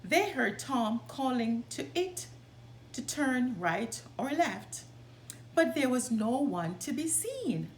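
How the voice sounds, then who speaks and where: A woman reading a children's story aloud as a storytime host, with expressive, deliberate delivery. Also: sits high, slight background noise.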